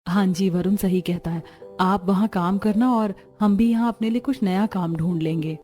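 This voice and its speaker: A voice actress playing an older mother-in-law who runs the household with caring firmness, in an animated story. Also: medium pitch, moderate pace, lightly acted. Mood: coaxing. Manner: motherly, gently commanding.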